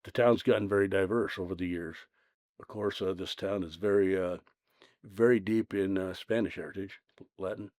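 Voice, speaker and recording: A man in his sixties, a city maintenance worker, talking in a plain-spoken, unhurried way with many fillers. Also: deep voice, steady tone, a little mellow, no room sound, clean studio sound. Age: 60s. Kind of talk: casual interview.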